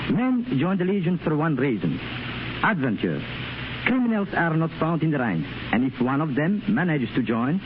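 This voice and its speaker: Hesitant man on old radio. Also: on the high side. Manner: hesitant, searching for words. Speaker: guest. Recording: hissy dull 1930s radio broadcast.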